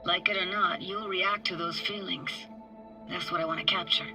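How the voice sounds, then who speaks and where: A young woman techie diver from a video game, played by an actress, talks in short, slangy, teasing phrases. She is wry and guarded with a sentimental streak. Music plays clearly behind her. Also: lowish voice, fairly even intonation, normal speed, close to an everyday voice, rough recording. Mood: wistful, earnest. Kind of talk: video game voice acting, casual dialogue.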